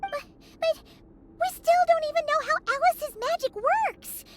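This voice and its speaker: A tiny, piping companion frets and stammers in a video game scene. Her voice sits extremely high and thin. She hurries over worried questions. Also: measured pace. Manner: fretful, stammering.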